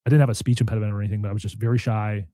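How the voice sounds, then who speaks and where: Casual podcast host, young man, deep and warm, meandering delivery. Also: flat delivery, racing, dry recording, studio quality. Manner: rambling, filler-heavy, frequent "like". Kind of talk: casual chat.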